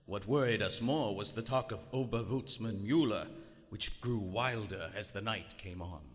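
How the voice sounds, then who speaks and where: An actor playing an arrogant, stern German submarine captain in live radio theater, with a pompous, formal, commanding manner. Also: male voice, fairly low pitch, normal speed, close to an everyday voice. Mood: cold, formal. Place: on stage in a large theater. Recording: echoey theater hall, music, hiss.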